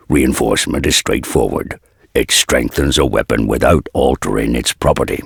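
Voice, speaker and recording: An actor playing a burly, humble old blacksmith in a dark fantasy video game, with a gravelly, husky voice and slow, archaic, folksy phrasing. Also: male voice, rumbling low, no room sound, clean studio sound, exaggerated voice. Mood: instructive, earnest.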